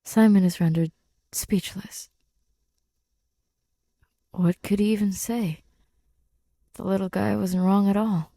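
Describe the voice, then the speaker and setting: A woman narrating scripted fiction in an intimate, subdued, halting way, her breathy voice often near a whisper. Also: mid-range voice, steady tone, mellow, no room sound, studio quality. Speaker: podfic reader.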